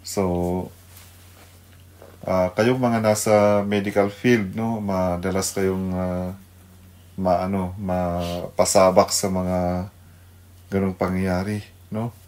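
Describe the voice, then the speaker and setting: A middle-aged man with a Filipino accent giving an unscripted devotional radio talk, pausing to search for words and mixing two languages. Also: sits low, little pitch movement, a little mellow. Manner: halting, searching for words, mixes Tagalog and English. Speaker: radio devotional host, pastor.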